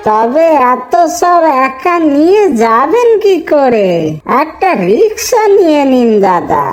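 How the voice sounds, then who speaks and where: A woman's voice: high voice, very animated, takes it slowly, faint music behind, exaggerated voice. A woman voicing a genial, chatty male grocer in a cartoon, with speech. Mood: genial, obliging. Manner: chatty, obliging. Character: genial male grocer who loves khichuri. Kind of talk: cartoon dialogue.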